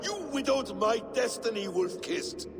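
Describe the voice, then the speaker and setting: Mad, menacing game villain, theatrical and taunting, voice swooping widely, drawn out, in a noisy reverberant space. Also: male voice, extremely high pitch, big pitch swings, exaggerated voice. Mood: bitter. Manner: theatrical, taunting, slow and deliberate. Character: mad vengeful traitor warrior, gloating and menacing. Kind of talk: video game cutscene acting. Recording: echoey reverberant hall, clear noise.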